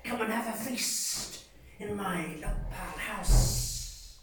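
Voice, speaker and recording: Woman putting on a sly snake, soft hissy voice, storybook creature, roomy echo, far mic. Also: mid-range voice, takes it slowly, music in the background, lightly acted. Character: sly male snake, hushed and hissing. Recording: echoey room, distant mic.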